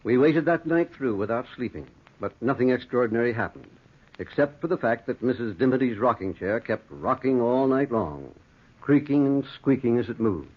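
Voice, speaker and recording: Earnest doctor on tinny old radio. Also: male voice, medium pitch, expressive, breathy edge. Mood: hushed suspense. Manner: dramatic, deliberate storytelling. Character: earnest, excitable older male doctor telling a ghost tale. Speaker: narrator and lead actor. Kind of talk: old-time radio horror acting. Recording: thin, noisy vintage radio recording.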